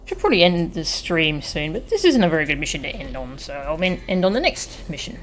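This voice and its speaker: A woman's voice: low-pitched, big pitch swings, a little fast. A woman streaming a video game with casual, off-the-cuff playthrough commentary. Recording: faint game music behind. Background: video game audio.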